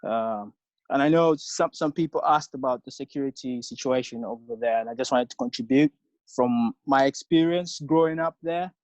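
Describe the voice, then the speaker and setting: A man's voice: mid-range voice, moderate pace, darkish tone, dry recording. Zambian man on a muffled video call. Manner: hesitant, many fillers. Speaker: webinar attendee. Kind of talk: casual online contribution. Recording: dull lo-fi video call audio.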